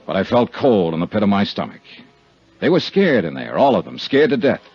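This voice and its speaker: A man's voice: lowish voice, sing-song, a little fast, a little mellow, husky, heavily acted. A man playing a tough, wry insurance investigator in a 1950s radio drama, speaking and narrating in a hard-boiled manner. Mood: brooding. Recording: muffled 1950s radio recording with music.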